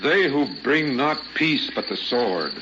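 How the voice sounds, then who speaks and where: An actor playing an old religious zealot, gentle but fervent, with solemn biblical phrasing in an old-time radio drama. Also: male voice, mid-range voice, expressive, slow. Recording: muffled, noisy old radio recording.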